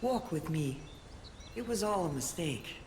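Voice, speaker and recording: Echoing, slow, serene guardian woman. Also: fairly low pitch, animated, a little mellow, slight background noise. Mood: tender, pleading. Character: serene, coaxing female guardian pleading for peace. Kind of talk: video game voice acting. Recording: echoing game voice under music.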